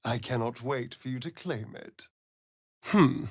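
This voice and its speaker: A man with an English accent playing a sardonic, vain elven vampire rogue in a video game, arch, mocking and theatrical, with a breathy voice. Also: medium pitch, unhurried, darkish tone, no echo, studio quality. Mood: grand, measured. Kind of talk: video game character voice lines, theatrical delivery.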